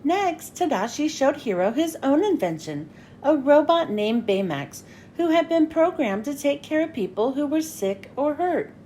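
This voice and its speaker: High-voiced, animated woman reading a storybook. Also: measured pace, slight background noise. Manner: expressive, story-time delivery. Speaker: children's read-aloud host.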